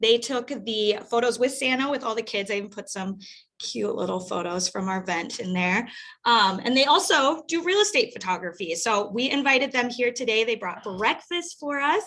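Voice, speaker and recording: A woman's voice: fairly high pitch, animated, moderate pace, a bit bright. A woman hosting a casual team meeting, upbeat and chatty. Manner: upbeat, laughs often. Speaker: meeting host. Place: office meeting room.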